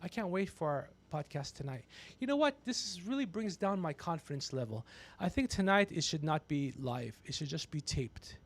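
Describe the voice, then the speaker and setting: A man with a notably high voice and a lot of gravelly creak in it. He hosts a casual livestream, needling and swearing freely with an excitable delivery. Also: moderate pace. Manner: teasing, needling, profane. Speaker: podcast host.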